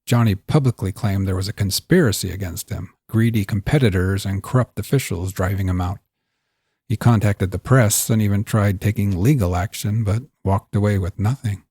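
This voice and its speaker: Low, husky man narrating fiction. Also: normal speed, mellow, no echo, studio quality. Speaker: host and narrator.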